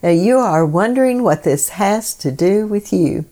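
A woman hosting a devotional program, reading scripted meditations in a solemn, measured way. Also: medium pitch, expressive, dark tone.

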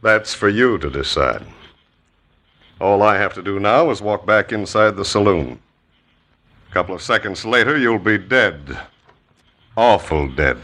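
An actor in a 1950s radio western playing a menacing, mocking saloon boss. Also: male voice, lowish voice, expressive, unhurried, long pauses, sharp tone, full character voice. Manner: taunting, mocking. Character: menacing saloon boss, smooth, sneering villain. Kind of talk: 1950s radio drama acting.

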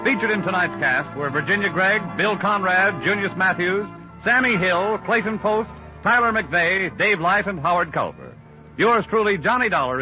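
An American man announcing on 1950s radio, reading sponsor messages and credits with polished, upbeat salesmanship. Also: high-pitched. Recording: muffled vintage radio recording. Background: program theme music.

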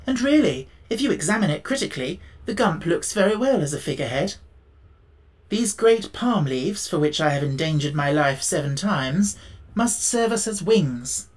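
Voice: mid-range voice, lively intonation, normal speed, close to an everyday voice. A storybook reader voicing a practical, self-assured man made of tin, formal and matter-of-fact. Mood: pleased.

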